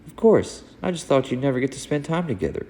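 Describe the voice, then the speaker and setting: A man's voice: medium pitch, very animated, fast, dark tone, voice pushed up. A man voicing a playful comic-book heroine in an amateur read-along. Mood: upbeat, playful. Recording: echoey room with background noise.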